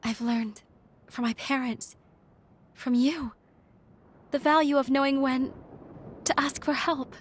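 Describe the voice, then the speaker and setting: An actress playing a gentle young woman fighter in a game cutscene, sincere and heartfelt. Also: sits high, fairly even intonation, slow, pauses often, slight background noise. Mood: earnest, tender. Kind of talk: dramatic game cutscene dialogue.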